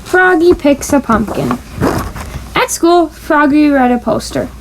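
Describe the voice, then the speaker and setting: A woman's voice: high-pitched, animated, a little slow, background noise. A woman reading a children's storybook aloud, playfully stressing the sound-effect words. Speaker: storybook reader.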